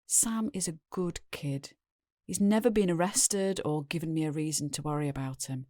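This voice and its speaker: Woman playing weary British wife, lowish voice. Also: fairly even intonation, normal speed, warm tone, no echo, clean studio sound, close to an everyday voice. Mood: weary, disappointed. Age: middle-aged. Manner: plain-spoken, world-weary. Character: weary, resigned middle-aged wife and mother, defensive drinker. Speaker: actor in an audio drama. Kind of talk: confessional audio drama monologue.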